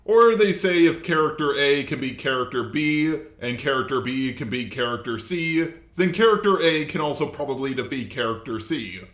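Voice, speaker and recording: A man delivers informal scripted commentary in a medium-pitched voice. He is casual and blunt, and the room adds noticeable echo around his words. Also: expressive, moderate pace, hardly any pauses, fairly bright tone. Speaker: host, commentator.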